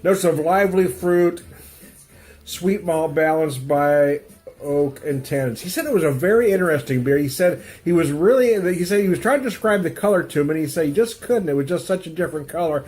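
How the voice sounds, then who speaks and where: A man's voice: a little high, fairly quick, a little mellow. Stuffy-nosed man rambling through a beer review. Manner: rambling, self-interrupting, chuckles often. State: stuffy nose from a cold. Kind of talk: casual beer tasting review. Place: home room with music playing.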